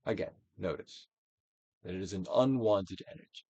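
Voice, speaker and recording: A man giving a dramatic, deliberate reading of a self-help book as a guided exercise, with pauses and big swells. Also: low voice, darkish tone, breathy edge, no echo. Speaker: audiobook reader and actor.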